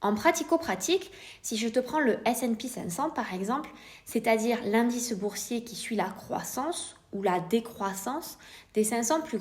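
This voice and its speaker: A woman with a French accent hosting a podcast, explaining in a scripted, didactic way while addressing the listener informally with rhetorical questions. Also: a little high. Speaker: podcast host, private investor.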